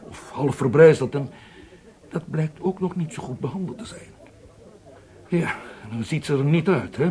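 An actor playing an easygoing, obliging brigadier general in a Dutch radio drama, chatting in an unhurried, confiding way. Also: male voice, a little high, dark tone, natural voice. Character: easygoing, obliging male Egyptian brigadier general, drinking companion.

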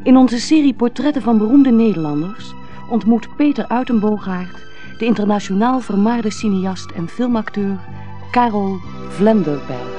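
An actress playing a formal radio announcer, reading a scripted programme introduction. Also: female voice, mid-range voice, lively intonation, moderate pace, mellow, soft background music, lightly acted. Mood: formal, measured.

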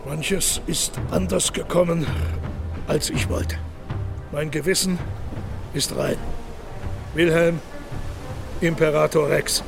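A creaky-voiced, imperious German monarch for a radio play. He speaks formally and solemnly, justifying himself, somewhat away from the microphone with music behind him. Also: fairly high pitch, steady tone, slow, pauses often, far from the mic. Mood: solemn, self-righteous. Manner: formal, stately, self-justifying. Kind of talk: radio drama monologue and dialogue.

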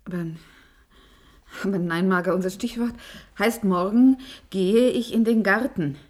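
Radio play: commanding female idealist acted by a woman, mid register, intense, urgent, prone to halting bewilderment. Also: medium pitch, a little mellow, lightly acted. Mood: bewildered, agitated. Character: commanding, visionary woman leading a thought-transfer experiment, growing bewildered. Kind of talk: German radio play acting.

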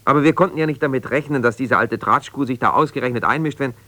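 Man acting a forceful, strained, placating husband. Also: mid-range voice, no echo, lightly acted. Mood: soothing, strained. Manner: halting, repeats himself, reassuring yet exasperated. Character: ambitious lawyer husband, evasive, placating, strained, newly separated. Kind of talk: naturalistic 1970s German radio drama, one-sided phone calls.

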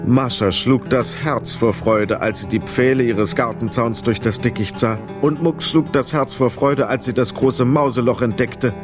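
A man's voice: medium pitch, normal speed, few pauses, over music. A man narrating a children's radio play as a scripted storyteller.

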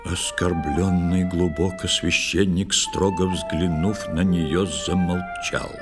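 A man narrating a verse tale in a dramatic, measured, theatrical way. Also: low voice, fairly even intonation, over music.

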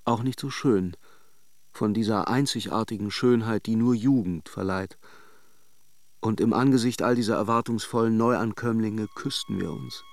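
An actor playing a worldly, ironic middle-aged man who recalls an affair in a radio drama, with deliberate hesitations. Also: on the low side, no room sound, natural voice. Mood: tender, nostalgic. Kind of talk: radio drama monologue, narrated as a confession.

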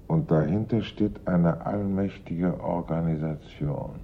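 A very deep, gravelly, brooding detective. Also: male voice. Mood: grave suspicion. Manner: deliberate, earnest, reasoning. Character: dogged male police investigator, grave, brooding, suspicious. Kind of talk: German radio drama acting. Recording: dull old radio recording, noisy rumble.

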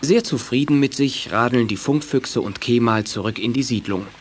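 An actor serving as narrator, reading scripted narration for a German children's radio play. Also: male voice, mid-range voice, moderate pace, a little hiss or hum, natural voice. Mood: composed. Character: storytelling narrator.

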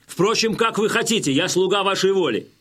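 Casting: wily Cossack leader, fairly high male voice, folksy measured oratory, audio drama. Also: lively intonation, fairly bright tone. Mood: deferential, persuasive. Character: wily Cossack chieftain, feigning humility, addressing his warriors. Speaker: actor.

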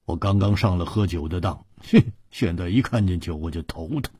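Man acting brash, husky, blustering drinker. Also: lowish voice, big pitch swings, moderate pace, darkish tone, dry recording, clean studio sound. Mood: grumbling. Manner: muttering, drawling interjections, stammering. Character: brash, teasing male drinker, a blustering rascal.

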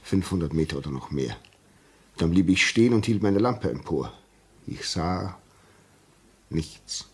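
An actor playing a grave, shaken railway signalman in a German radio play, telling his story haltingly and insistently. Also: sits low, animated, husky, exaggerated voice. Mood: uneasy recounting. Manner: slow, halting, repeats words for emphasis.

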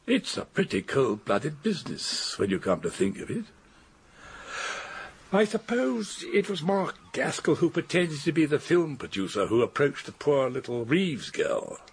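A mature English gentleman in a British radio play. His voice is very husky with lively rises and falls. He is courteous and sober, putting his questions in a measured, understated way. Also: fairly high pitch, full character voice. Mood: puzzled, sober. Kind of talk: British radio drama acting.

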